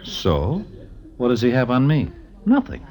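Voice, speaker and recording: Cocky small-time crook, wildly swooping voice. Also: male voice, medium pitch, wildly swooping pitch, unhurried, darkish tone. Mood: cocky, confident. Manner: stilted gangster slang, insistent. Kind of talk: 1940s radio drama acting. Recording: noisy old 1940s radio recording.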